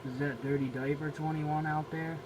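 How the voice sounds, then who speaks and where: A man's voice: fairly high pitch, flat delivery, moderate pace. An American man making slangy CB radio calls, repeating catchphrases and call signs. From New Jersey, United States. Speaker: CB radio operator. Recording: noisy radio chatter and vehicle ambience.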